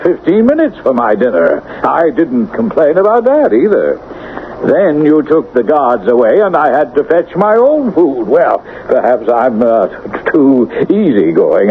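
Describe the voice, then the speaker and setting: A smug lazy convict, stammering and chuckling, lively pitch, tinny old radio sound. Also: male voice, a little hiss or hum. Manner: stammering, chuckling, self-satisfied. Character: smug lazy male convict who refuses to leave. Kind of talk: 1970s radio acting.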